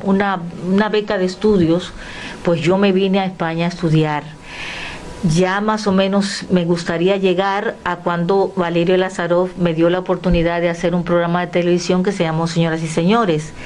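An older woman from the Dominican Republic tells her stories with a lively, animated delivery. She acts out remembered conversations as she talks, over a faint hiss of background noise. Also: lowish voice, moderate pace. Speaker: guest. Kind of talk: animated personal storytelling.

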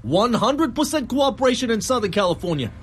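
A man's voice: very high-pitched, big pitch swings, fairly quick, fairly bright tone, slightly rough, voice pushed up, full character voice. A man playing a persuasive army captain who bargains and coaxes, in a one-man stage play. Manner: coaxing, bargaining, deferential on the phone.

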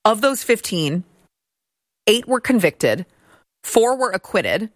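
A woman's voice: mid-range voice, very animated, slow, dry recording, clean studio sound. A woman hosting a political commentary show, talking in a sarcastic, mocking way. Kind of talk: opinion commentary monologue.

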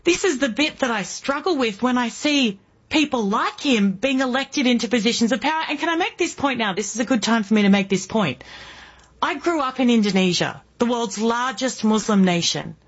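Husky Australian woman hosting talk radio. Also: fairly high pitch, expressive, moderate pace, dull audio. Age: early forties. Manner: emphatic, rhetorical questions. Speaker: guest radio host. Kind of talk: political talk radio monologue.

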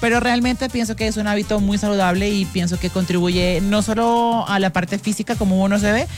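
Woman, twenties, co-host, chatty and agreeable, mid pitch, faint music. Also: fairly even intonation, fairly quick. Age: 20s. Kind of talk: casual chat.

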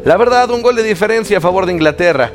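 Male panelist on a sports show, slightly higher voice, hesitant and hedging, music behind. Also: lively intonation, quick pace. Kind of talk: studio sports talk show.

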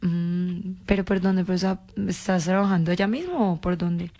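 A woman's voice: lowish voice, moderate pace, background noise. A woman from Colombia with a Paisa accent, chatting casually on a phone call in a coaxing, playfully evasive, insistent way. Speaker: phone caller.

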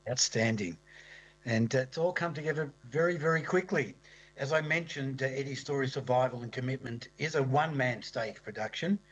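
A man's voice: mid-range voice, brisk, fairly bright tone, husky, poor audio. A man interviewing a guest, giving short replies and reading brief introductions.

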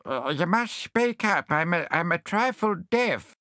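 Doddery deaf old hedgehog, high and slow, storybook, as a man puts him on. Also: lively intonation, sharp tone, no echo, clean studio sound, full character voice. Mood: befuddled. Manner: vague, drawn-out. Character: deaf, muddled old male hedgehog gardener.

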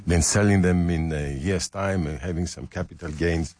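A man's voice: sits low, normal speed, darkish tone, no room sound. An older man in his seventies with a Greek accent, telling his story in a joking, self-deprecating way as a guest in a studio interview. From Greece. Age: 70s. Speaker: restaurateur guest. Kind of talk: casual interview chat. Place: radio studio.

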